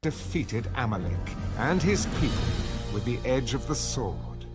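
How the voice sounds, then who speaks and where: A man's voice: medium pitch, sing-song, a little slow, loud music behind the voice. A man narrating a dramatized audio Bible as a solemn storyteller of ancient scripture. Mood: solemn storytelling. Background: musical score.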